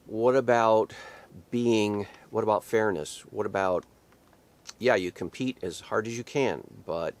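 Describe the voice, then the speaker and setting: Male pastor host, fairly low voice, reads quotes then argues back in pointed rhetorical questions. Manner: repeats himself and restarts sentences. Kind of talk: reading an article aloud and commenting.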